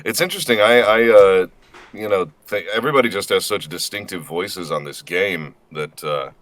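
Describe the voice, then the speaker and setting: A man's voice: low-pitched, moderate pace, fairly bright tone. An American man from Indiana, a voice actor chatting casually as a guest in a self-deprecating, slightly hesitant way. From Indiana, United States. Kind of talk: casual chat.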